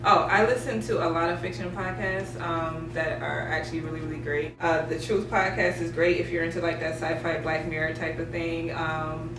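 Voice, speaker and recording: A woman's voice: sits low, level tone, normal speed, hardly any pauses. A woman in a casual group chat, giving her opinions conversationally. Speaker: interviewee from an audio drama cast. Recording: echoey noisy room, distant mic.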